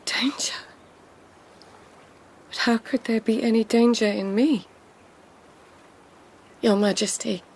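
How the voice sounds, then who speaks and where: An actress playing an anxious, deferential court lady in a radio drama, speaking formally and earnestly, sometimes hushed. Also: a little high, steady tone, takes it slowly, long pauses, slightly rough, heavily acted. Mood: deferential, uneasy.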